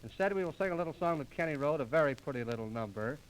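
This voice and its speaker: A man's voice: fairly high pitch, expressive, very fast, slight background noise, poor audio. A man performing theatrical, mock-exasperated comic patter as the straight man of an old 1930s radio comedy duo. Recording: restored 1930s radio transcription disc.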